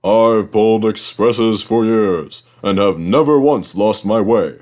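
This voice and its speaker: A man's voice: on the low side, slow, a little mellow. A man voicing a big, proud male express steam engine in a storybook reading, pompous and condescending.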